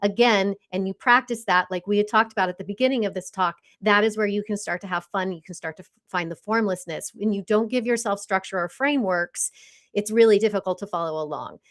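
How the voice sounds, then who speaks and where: A woman's voice: medium pitch, brisk. A woman hosting an interview podcast, speaking conversationally as she comments and poses questions to her guest.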